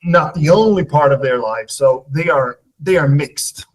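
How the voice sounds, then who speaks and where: A middle-aged Swedish man with a Swedish accent, a rapper talking as a podcast guest in an unhurried, reflective way, with many fillers and restarts. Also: a little high, poor audio. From Sweden. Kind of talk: casual interview chat.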